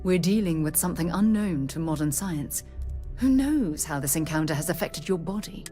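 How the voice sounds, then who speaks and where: A precise, curious scientist. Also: female voice, low voice, animated, dark tone, soft background music. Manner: measured, precise, formal. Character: formal, curious female scientist, precise and fascinated. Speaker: scientist companion. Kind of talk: video game voice acting.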